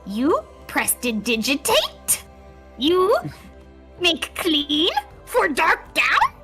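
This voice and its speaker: A woman's voice: high voice, huge pitch swings, bright, forceful tone, soft background music, pitched above the performer's own voice, heavily acted. Casting: a woman as eerie cursed female sewer creature for tabletop fantasy; raised, piercing tone, wheedling broken speech. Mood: wheedling, expectant. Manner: halting broken phrases, pleading.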